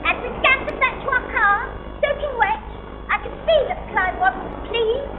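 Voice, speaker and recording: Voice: very high voice, moderate pace, reverberant, background noise. A performer playing a fussy, vain British housewife turned advert celebrity, with an affected, gushing delivery in a comedy. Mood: bright, sing-song. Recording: tinny band-limited audio with music.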